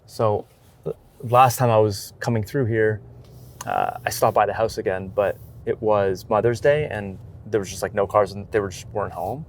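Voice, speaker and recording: Casual, halting man over background noise. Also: lowish voice. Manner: halting, loose, informal talk full of "like". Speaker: documentary street photographer. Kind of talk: casual vlog chat while out shooting.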